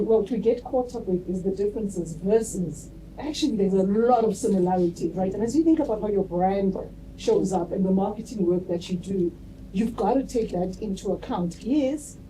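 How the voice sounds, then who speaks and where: A woman speaking on a debate panel as a marketing executive, lively and laughing easily between points, often tagging her sentences with "right?". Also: mid-range voice, moderate pace. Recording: distant mic with faint room noise.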